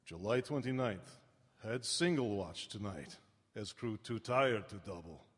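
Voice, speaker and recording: An actor playing a weary, grave sea captain in a live radio play, speaking in solemn, clipped phrases with a husky voice. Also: male voice, fairly low pitch, lively intonation, unhurried. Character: weary, grave sea captain reading his ship's log. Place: town hall stage. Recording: echoey live hall.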